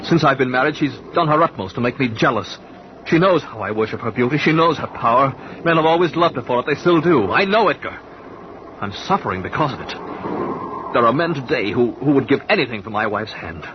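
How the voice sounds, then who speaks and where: An actor in 1940s radio drama playing a tormented, jealous young male artist who stammers and swings between brooding and shouting. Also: medium pitch, expressive, fairly quick, natural voice. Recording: hissy lo-fi old radio recording.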